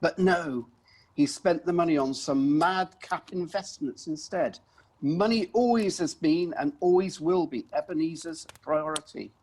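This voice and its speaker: A man's voice: a little high, unhurried, a little mellow, breathy edge. A man playing an unforgiving father in an amateur radio play, speaking emphatically. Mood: bitter. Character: bitter, unforgiving father of the family.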